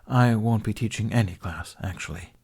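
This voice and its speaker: A man's voice: fairly low pitch, moderate pace, a little mellow, breathy edge. Man playing a courteous, weary master swordsman. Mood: regretful, formal. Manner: polite, formal, hesitant. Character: weary, courteous older male master, gently regretful.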